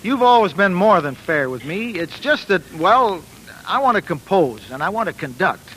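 A man's voice: sits high, sing-song, moderate pace, a bit bright, a little hiss or hum, poor audio. A man playing an eager, polite, naive young musician, earnest and bashful, in 1940s radio drama style. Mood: grateful, sincere. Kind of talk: 1940s radio acting and narration. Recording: old 1940s radio broadcast.

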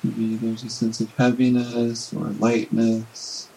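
A man leading a guided body scan meditation in a gentle, unhurried manner with long pauses. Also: medium pitch, completely flat delivery, vocal fry, a little hiss or hum, poor audio.

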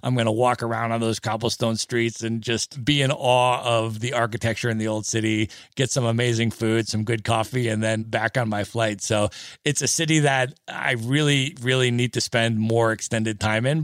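A man's voice: mid-range voice, little pitch movement, normal speed, no room sound, clean studio sound. A man hosting a podcast interview, asking questions in an enthusiastic, friendly way. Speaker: podcast host. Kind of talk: interview questions, casual chat.

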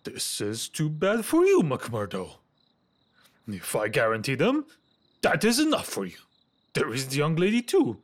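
Man playing a nervous, swooping, stammering gentleman. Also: a little high, wildly swooping pitch, measured pace, heavily acted. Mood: flustered, indignant. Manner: hesitant, stammering, fussy. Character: nervous, fussy, anxious Victorian gentleman. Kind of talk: audio drama acting.

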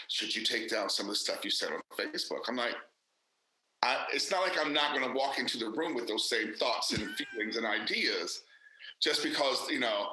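A man's voice: mid-range voice, pushed and bright, roomy sound, low-quality recording. A man, an actor and director, speaking candidly and emphatically in a casual interview, with many fillers and restarts.

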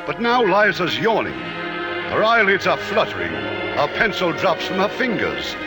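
A man's voice: high-pitched, wildly swooping pitch, a little slow, over loud music. A man narrating as host of a 1950s radio drama, in scripted theatrical style.

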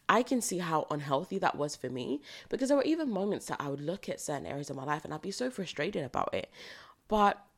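A British woman hosting a solo podcast, slightly croaky from recording early in the morning, speaking in an earnest, motivational way and repeating phrases for emphasis. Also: low voice. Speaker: podcast host. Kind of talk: solo reflective podcast monologue.

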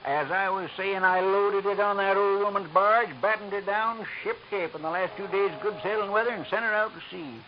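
A man's voice: high voice, moderate pace, hardly any pauses, dull audio, lightly acted. An actor playing a chatty old seaman in a 1940s radio drama, with folksy sailor talk. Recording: hissy old radio broadcast recording.

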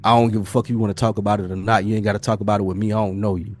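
A man's voice: fairly low pitch, level tone, very fast, a little mellow, dry recording, soft background music. A man in a casual podcast discussion, swearing freely and acting out imagined exchanges as he tells his point. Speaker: podcast speaker.

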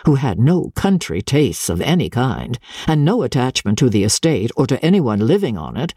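Female narrator for literary audiobook: deep, mellow, husky tone, deliberate formal phrasing, dramatic delivery. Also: no echo, clean studio sound. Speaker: audiobook narrator and radio reader. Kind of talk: dramatic scripted reading of classic literature.

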